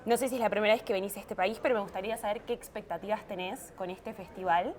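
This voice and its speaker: A woman's voice: medium pitch, steady tone, very fast. A young woman from Argentina interviewing authors, friendly and laughing often, speaking with an Argentine accent. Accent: Argentine Spanish. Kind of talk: casual interview.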